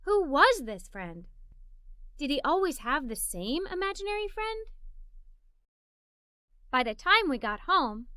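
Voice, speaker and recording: A woman's voice: sits high, no echo, clean studio sound. A woman reading a children's story aloud expressively, in the first person as a curious, imaginative young girl. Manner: expressive, animated, with giggles. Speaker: storybook reader.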